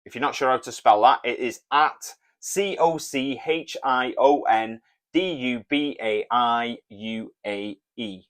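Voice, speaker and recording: A Welsh man co-hosting a casual podcast, talking in a relaxed, plain way and reading out listener messages. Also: medium pitch, measured pace. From Wales. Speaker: podcast co-host.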